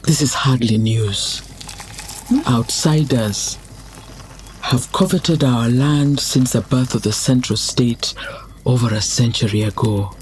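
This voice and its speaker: A man playing a wise, solemn old community leader in a motion comic drama, measured and reflective. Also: mid-range voice, slight background noise, close to an everyday voice. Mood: grave, reflective. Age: older.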